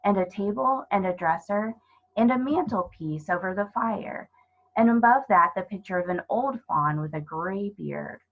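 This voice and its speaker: A woman plays a gentle storybook faun, male and a little melancholy, with a husky edge to the voice. He speaks in courteous, old-fashioned, wistful phrases, hospitable to the last. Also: mid-range voice, normal speed, phone-quality sound, natural voice.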